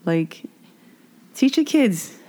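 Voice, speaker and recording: A woman co-hosting a podcast in casual chat, with a loud, carrying voice and an emphatic delivery. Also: a little high, very animated, very slow, rough recording. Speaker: podcast co-host.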